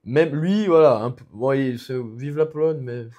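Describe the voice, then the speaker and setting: A young man from France talks casually as a podcast guest. His speech rambles, with plenty of restarts and filler sounds. His voice sits at an ordinary pitch for a man. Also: moderate pace, warm tone, dull audio. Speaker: guest, MMA fighter.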